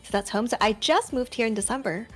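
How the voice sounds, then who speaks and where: A woman's voice: fairly high pitch, quick pace, soft background music. A woman from England who grew up in Canada, a guest streamer chatting casually in a bubbly, giggly way. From England, raised in Canada. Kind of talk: casual live-stream chat.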